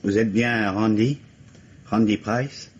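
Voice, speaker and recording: A bereaved husband in an old radio mystery, courteous and restrained. His voice is low and grave, on a dull recording with clear background noise. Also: steady tone, slow pace. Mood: polite. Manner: polite, reserved. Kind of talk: vintage French radio mystery acting.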